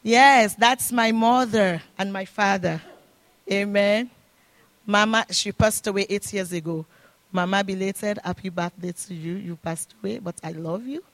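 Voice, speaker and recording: A woman's voice: mid-range voice, unhurried. An older woman with a foreign accent, a grandmother and guest speaker, praying fervently and preaching at a church service. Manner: fervent, repetitive prayer invocations. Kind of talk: prayer and sermon. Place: church sanctuary. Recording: echoey church room with music.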